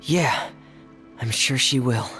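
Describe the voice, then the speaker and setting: An actor voicing a calm, kind young adventurer in a video game, soft and breathy, with a deliberate, earnest delivery. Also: male voice, mid-range voice, faint music behind. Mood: gentle, encouraging.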